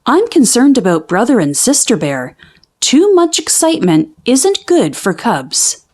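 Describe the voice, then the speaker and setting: A woman voicing a mildly worried, gently wry mother bear in a storybook, measured and sighing. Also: fairly high pitch, sing-song. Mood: concerned.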